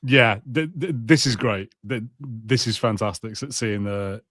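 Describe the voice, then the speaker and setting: A man's voice: on the low side, measured pace, fairly bright tone, no echo, studio quality. A man in casual podcast conversation as a co-host, telling anecdotes in a rambling way. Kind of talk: casual chat.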